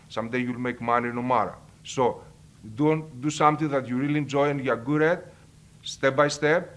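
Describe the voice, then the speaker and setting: A middle-aged man with a Greek accent, a professor talking about growing up and how to succeed. He speaks earnestly, sometimes stopping to restart or repeat a word. His voice sits at an ordinary middle pitch. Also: a bit bright. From Greece. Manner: earnest, with repetitions and hesitations. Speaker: marketing professor. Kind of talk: personal life story and advice to camera.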